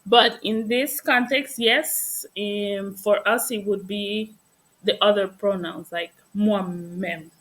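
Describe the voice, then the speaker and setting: A woman's voice: medium pitch, fairly even intonation, slow, fairly bright tone, rough recording. A woman whose first language is Spanish, tutoring English in casual conversation and patiently correcting mistakes. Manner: friendly, explains patiently, laughs often.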